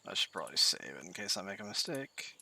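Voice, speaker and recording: Low bright-voiced man thinking aloud. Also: very animated, gravelly, husky. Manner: halting, thinking aloud, many fillers. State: voice getting tired. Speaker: streamer. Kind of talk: game tutorial commentary. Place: bedroom.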